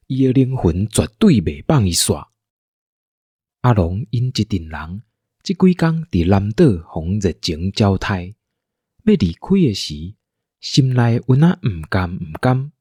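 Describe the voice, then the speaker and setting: Man, Taiwanese accent, scripted story narrator, husky, animated delivery, dry close recording. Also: lowish voice, no room sound. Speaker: narrator of an audio drama.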